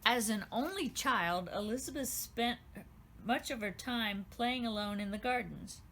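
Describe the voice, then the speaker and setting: A woman, the author, reading her children's storybook aloud with gentle, expressive storytelling. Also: a little high, a little slow, slight background noise.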